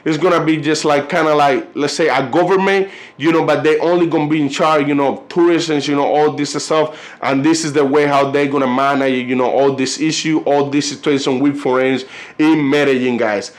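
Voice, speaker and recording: Man, Colombian Spanish accent, native Spanish speaker, fairly high voice, casual vlog chat, rambling, heavy fillers, halting when reading. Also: fairly even intonation. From Cartagena, Colombia. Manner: loose, rambling, constant "you know" and "like" fillers. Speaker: vlogger.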